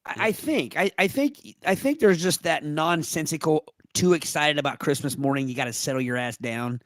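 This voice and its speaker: A man's voice: a little high, normal speed, no room sound, studio quality. A man with a lingering cough, co-hosting a podcast in casual chat and rambling through childhood stories. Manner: chatty storyteller, rambling restarts. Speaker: podcast co-host.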